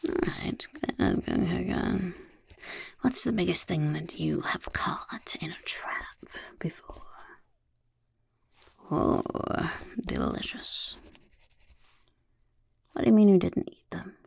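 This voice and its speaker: A gravelly, crackling goblin overlord, acted by a woman, murmurs in a hushed whisper, unhurried and drawn out. The voice is low and husky, with the pitch rolling up and down as it sizes up a new recruit. The sound is close and completely dry, as in an ASMR roleplay. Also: no echo, heavily acted. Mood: scheming. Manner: whispery, speaks of self in third person. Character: goblin overlord of a chaos horde, sly and self-important. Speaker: interviewer. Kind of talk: soft-spoken ASMR roleplay.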